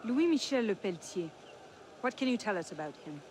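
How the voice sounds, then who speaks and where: A woman's voice: medium pitch, sing-song, normal speed, a little mellow, faint music behind, natural voice. A woman playing a composed, resolute young noblewoman in a game cutscene, wistful yet firm. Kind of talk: video game cutscene acting.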